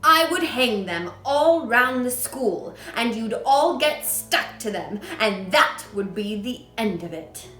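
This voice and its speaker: A woman's voice: lively intonation, takes it slowly, hardly any pauses, bright, forceful tone, soft background music, heavily acted. A woman voicing a huge, child-hating headmistress who barks and rants, read aloud in an echoey room. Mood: scornful. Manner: booming, barking, ranting.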